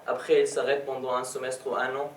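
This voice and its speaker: A young man from Palestine speaking French, giving a personal testimony as a guest speaker, often pausing and restarting his phrases. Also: medium pitch, flat delivery, slight background noise. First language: Arabic. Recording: roomy, distant mic, faint background ambience.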